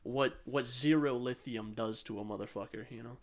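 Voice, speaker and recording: A man with a mid-pitched voice co-hosts a casual podcast. He gives his opinions bluntly and swears freely as he chats. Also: fairly even intonation, moderate pace. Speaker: podcast co-host.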